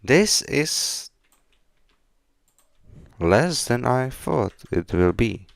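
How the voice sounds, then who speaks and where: A man from the Czech Republic with a Czech accent, chatting casually on a live coding stream in halting, hesitant non-fluent English. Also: mid-range voice, sing-song, creaky, no room sound. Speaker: game developer live streamer. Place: indoors at a computer, keyboard clicks behind.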